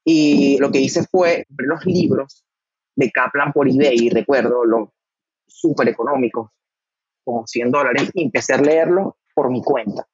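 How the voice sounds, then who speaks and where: Husky man, hesitant storytelling. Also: medium pitch, a little slow, no echo, highs cut off. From Venezuela. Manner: hesitant, repeats words while recalling. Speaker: guest, doctor. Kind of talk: casual interview answers.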